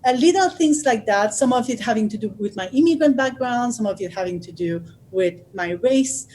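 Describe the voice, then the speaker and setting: A woman from Haiti, telling her own story as a guest in a thoughtful way, often pausing with fillers and restarting. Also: a little high, animated, a little mellow, slight background noise. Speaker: author and guest speaker. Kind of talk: personal storytelling.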